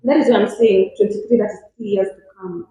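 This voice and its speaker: A woman's voice: mid-range voice, fairly even intonation, fairly quick, warm tone. Young Kenyan woman in a very echoey room. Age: 20s. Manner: insistent, repeats her point. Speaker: panel guest. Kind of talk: casual panel chat.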